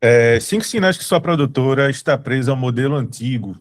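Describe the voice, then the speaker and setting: A man's voice: mid-range voice, expressive, a little fast. A man with a Brazilian accent from Recife, presenting to an online meeting in a casual, emphatic way, repeating his points. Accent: Brazilian Portuguese, from Recife. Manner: emphatic, repeats points, talks straight to the audience. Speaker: studio co-founder presenting.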